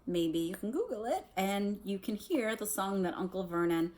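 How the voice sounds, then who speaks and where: Lively woman reading a story aloud. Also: medium pitch, moderate pace. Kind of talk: expressive storybook read-aloud with casual asides.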